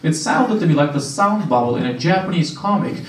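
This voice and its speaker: A man's voice: a little high, brisk. Romanian man doing stand-up in a roomy club. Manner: deadpan punchlines, playful crowd work. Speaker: stand-up comedian. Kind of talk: stand-up set. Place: small comedy club stage. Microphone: handheld mic. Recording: roomy club sound, camera far off. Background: audience laughter.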